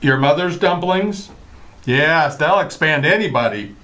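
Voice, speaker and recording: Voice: medium pitch, expressive, measured pace, a bit bright. A performer playing a harried, sarcastic husband and father, dry and impatient, in an old-time radio comedy.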